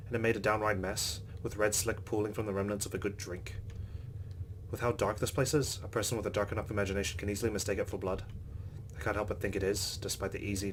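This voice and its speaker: Man, lowish voice, let's player, reading story narration aloud, faint noise, game ambience. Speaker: let's play host. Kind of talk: reading visual novel narration aloud, with reactions.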